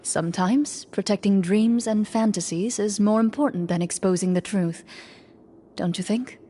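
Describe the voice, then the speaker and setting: An actress playing a composed, quietly protective female hunter in a video game, speaking in a firm, measured manner. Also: mid-range voice, a little hiss or hum, close to an everyday voice. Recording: faint music behind the voice.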